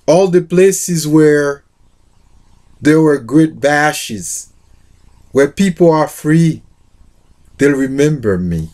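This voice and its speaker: A middle-aged man who introduces a poetry collection and reads a poem aloud, speaking haltingly with many fillers. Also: a little high, lively intonation, a little mellow. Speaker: literature teacher.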